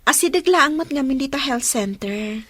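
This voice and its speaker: An actress playing a friendly, caring young village midwife in a Filipino radio drama, with a Filipino accent. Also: female voice, high-pitched, lively intonation, moderate pace, sharp tone, no room sound.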